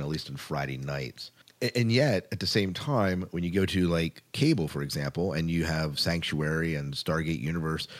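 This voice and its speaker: A man hosting a podcast, chatting casually about television in a halting way, often restarting his phrases. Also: extremely low pitch. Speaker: podcast host. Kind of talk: casual chat about TV shows.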